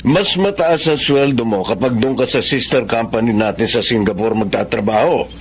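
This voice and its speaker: An actor playing an insistent, persuasive office boss in a Tagalog radio drama, speaking with a Filipino accent. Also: male voice, medium pitch, normal speed, lightly acted. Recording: faintly noisy radio drama track.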